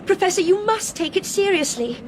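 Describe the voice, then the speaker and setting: A woman's voice: extremely high pitch, lively intonation, moderate pace, a little hiss or hum. An actress playing an earnest, headstrong young Englishwoman, urgent and emphatic, in a British radio drama. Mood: urgent, defiant.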